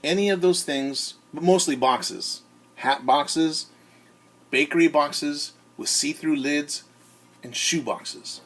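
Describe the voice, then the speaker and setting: Expressive male teacher reading a storybook. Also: a little high, slow. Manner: expressive, questioning, addresses listeners directly. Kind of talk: animated storybook read-aloud with asides to young listeners.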